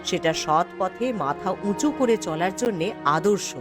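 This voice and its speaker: A woman's voice: fairly low pitch, animated, moderate pace, lightly acted. A woman playing a kind-hearted, sympathetic village wife in a fairy-tale cartoon, chatting in a homely way. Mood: pleased, sympathetic. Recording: background music throughout.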